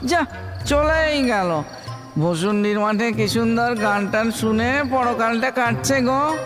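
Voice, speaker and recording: A man playing a sentimental, chatty village ghost in a comic audio drama, sighing wistfully over his words. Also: very high voice. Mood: lovesick, admiring. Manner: sighing exclamations, sentimental, drawn-out. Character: lovestruck male village ghost, newly dead, wistful and chatty. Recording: long hall reverb under music.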